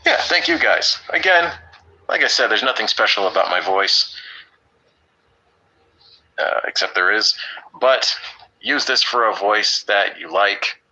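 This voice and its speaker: A man with a deep voice teaching an online English class, upbeat and teasing with his students. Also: animated, measured pace, long pauses, sharp tone, rough recording.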